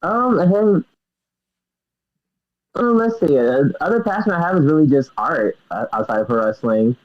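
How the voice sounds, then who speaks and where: A man's voice: on the high side, animated, moderate pace. Young guy guest, kinda shy, lots of ums and little laughs, muffled lo-fi sound. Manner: hesitant, many fillers, giggly. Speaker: guest wrestler. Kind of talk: casual interview. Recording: dull lo-fi sound.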